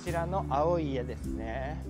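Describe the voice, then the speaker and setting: A man's voice: fairly high pitch, unhurried, loud music behind the voice. A middle-aged man casually narrating a walking tour outdoors, polite and enthusiastic, speaking into a handheld camera. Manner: chatty, polite, enthusiastic guide. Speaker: vlogger. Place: outdoors on city streets and in a park. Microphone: handheld wide-angle camera.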